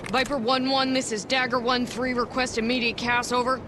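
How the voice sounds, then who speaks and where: Woman playing a tough female commando, crisp radio talk, mid-pitched, video game. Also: little pitch movement, fairly quick, background noise, lightly acted. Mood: formal. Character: tough female special forces soldier. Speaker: special forces soldier, radio operator. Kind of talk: video game cutscene acting. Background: game music.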